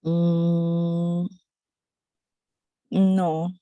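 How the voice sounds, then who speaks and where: A woman's voice: fairly low pitch, level tone, very slow, dark tone, clean tone, no echo. A young woman from Peru, a student giving brief, hesitant answers to her teacher.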